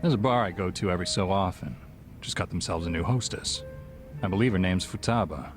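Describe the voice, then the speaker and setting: A shrewd bartender played by a man in a game cutscene, with a low voice and a measured, composed delivery. He is dry and discreet, and music plays clearly behind him. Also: close to an everyday voice. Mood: measured, knowing. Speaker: video game character. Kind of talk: dramatic game cutscene acting.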